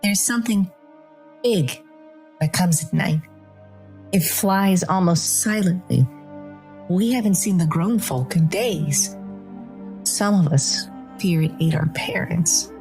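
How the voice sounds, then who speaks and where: A woman's voice: on the low side, slow pace, long pauses, over music, pitched above the performer's own voice. A woman voicing a small orphaned fungus child in a fantasy story, naive and sorrowful, speaking cautiously and plaintively. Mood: fearful, sad.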